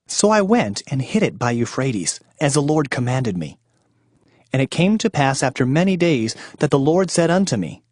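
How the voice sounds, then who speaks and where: A man's voice: medium pitch, very animated, moderate pace, darkish tone, no room sound, studio quality. An actor playing an earnest male prophet, solemn and declamatory, in a dramatized scripture narration. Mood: solemn narrating.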